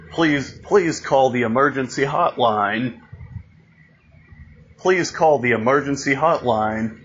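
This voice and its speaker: A man reading a scripted emergency broadcast announcement, haltingly, repeating and restarting phrases, on a lo-fi recording. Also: medium pitch, fairly bright tone. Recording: dull lo-fi audio, noticeable background noise.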